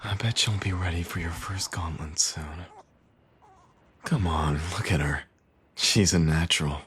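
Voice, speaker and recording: A man's voice: low-pitched, measured pace, a bit bright, breathy edge, full character voice. A man playing a smug, teasing supervillain and doting father in an intimate ASMR audio roleplay, coaxing and sardonic. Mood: tender, playful.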